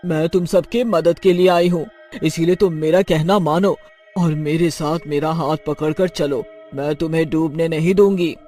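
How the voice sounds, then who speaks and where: A man's voice: a little high, fairly even intonation, moderate pace, dark tone, faint music behind, close to an everyday voice. A man voicing an earnest, well-meaning female witch in a cartoon, pleading emphatically in dramatic dialogue.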